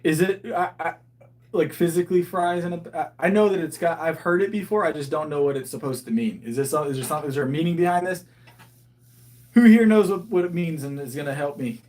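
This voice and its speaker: Man, 30s, casual livestream host, slightly higher voice, chatty and meandering. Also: fairly quick, darkish tone. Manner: rambling, with frequent restarts and thinking aloud. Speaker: livestreaming powerlifter. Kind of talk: casual livestream chat reading viewer comments.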